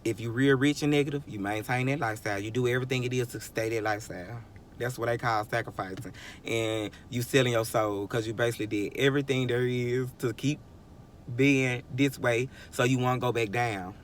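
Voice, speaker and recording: A man's voice: medium pitch, fairly even intonation, fairly quick, a little hiss or hum. A man in his twenties giving a rambling, emphatic motivational monologue, often chuckling and restarting sentences. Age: 20s. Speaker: vlogger.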